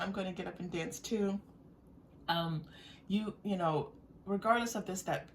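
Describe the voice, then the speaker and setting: A woman giving an unscripted, intuitive tarot reading straight to viewers, speaking gently and reassuringly in halting, searching phrases with repeated words. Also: on the high side. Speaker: tarot reader. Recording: distant mic with faint background noise.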